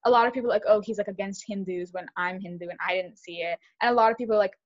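A young woman co-hosting a podcast, talking fast and animated in casual chat, with lots of "like". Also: medium pitch, dull audio. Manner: fast, animated, heavy use of "like". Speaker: podcast co-host.

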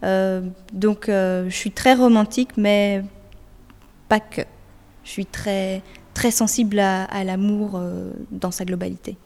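A young woman singer-songwriter answers an interviewer's questions, pausing often and restarting her sentences. Her voice sits at a middle pitch and carries a long reverb from the room around her. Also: steady tone, gravelly, darkish tone. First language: French. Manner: hesitant, many fillers and restarts.

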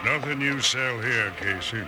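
An actor playing a tough, vengeful American outlaw gang leader in an old-time radio western, curt, commanding and menacing. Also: male voice, mid-range voice, a little slow, close to an everyday voice. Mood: sly, vengeful. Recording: very noisy old radio recording with clicks.

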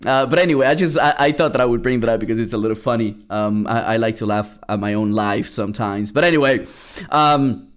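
A man's voice: mid-range voice, fairly quick, clear, resonant tone. A young man from Peru hosting a podcast, telling a casual story with lots of restarts and fillers. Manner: rambling, many restarts and fillers. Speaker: podcast host.

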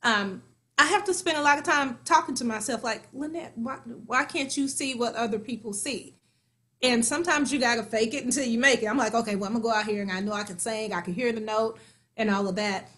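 A woman's voice: a little high, fairly quick. A woman in her forties from Kansas City, a stage actor and singer, chatting as a guest and acting out her own thoughts as she tells stories. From Kansas City, USA. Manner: lively storytelling, acts out her own inner voice. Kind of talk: conversational interview.

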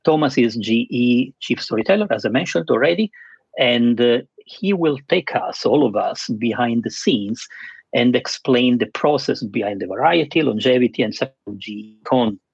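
A man hosting a webinar interview, speaking in a structured way with frequent pauses. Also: medium pitch, expressive, gravelly, slightly rough, dry recording, muffled recording. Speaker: host.

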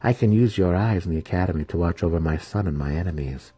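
A man voicing a female dark elf matron priestess, haughty, scheming and commanding, in an audiobook. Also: low-pitched, quick pace, slightly rough, no room sound. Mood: cold, calculating.

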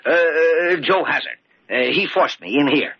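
Cagey, stubborn old father, highish swooping voice, muttering repeats; vintage radio drama with muffled sound. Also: lively intonation, a little slow, breathy edge, heavily acted. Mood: cagey, flustered. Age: older. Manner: hesitant, evasive, muttering repetitions.

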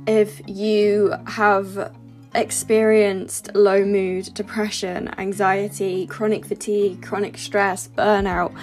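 A woman's voice: mid-range voice, little pitch movement, takes it slowly, darkish tone, soft background music. Enthusiastic woman podcast host. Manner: enthusiastic, emphatic. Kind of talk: scripted episode intro.

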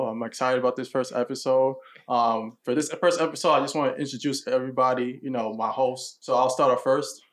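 A young man from New York hosting a casual podcast, talking in a relaxed way with lots of ums. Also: medium pitch. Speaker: podcast host.

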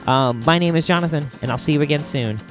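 An American man hosting a podcast, chatting casually to listeners in an enthusiastic, rambling, halting way, close to the microphone. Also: on the high side, loud music behind the voice. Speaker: podcast host.

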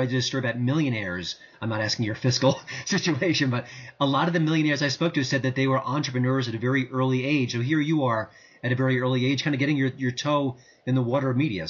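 A man hosting an interview show, introducing his guest and asking relaxed, rambling questions. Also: mid-range voice, husky. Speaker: podcast host. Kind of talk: conversational interview. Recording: dull lo-fi audio.

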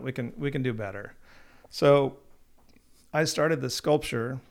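A man's voice: medium pitch, vocal fry. A man in his thirties, a company founder, telling his story in a casual podcast chat, slightly nasal and rambling with frequent restarts. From Oregon.

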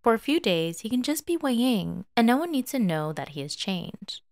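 A woman's voice: a little high, very animated, moderate pace, a little mellow, no echo. A woman reading scripted fiction as a narrator, telling the story expressively.

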